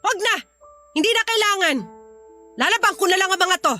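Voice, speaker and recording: A woman's voice: very high voice, fairly quick, sharp tone, faint music behind. An actress playing a fussy, domineering older mother-in-law in a radio drama, scolding and complaining. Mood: irritated, scolding. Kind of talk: Filipino radio drama acting.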